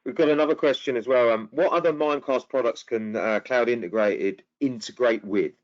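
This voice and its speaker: A man's voice: medium pitch, a little fast, highs cut off. A man hosting a webinar and reading out audience questions, briefly and with some hesitation. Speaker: webinar host.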